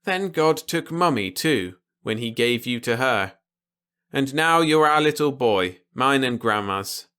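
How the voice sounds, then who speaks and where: A gentle old grandfather from a storybook, acted by a man. He speaks protectively and patiently, explaining things in simple words to a small child. The voice has a forward, bright edge. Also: mid-range voice, lively intonation, unhurried, clean tone, voice pushed up. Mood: tender, explaining. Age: older.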